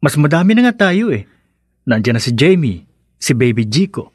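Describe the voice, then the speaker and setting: A man's voice: fairly high pitch, sing-song, moderate pace, darkish tone, breathy edge, no echo, clean studio sound. A man acting in a Filipino radio drama as an earnest young newlywed, a conciliatory son and brother.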